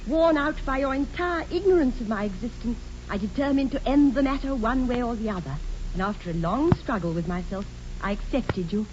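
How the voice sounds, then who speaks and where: A woman's voice: on the high side, fairly quick, darkish tone, close to an everyday voice. A woman playing a sweet, prim, self-assured young English ward in a 1940s radio comedy, with an upper-class accent and a genteel, precise delivery. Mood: dreamy, earnest. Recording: dull, lightly hissy 1940s radio recording.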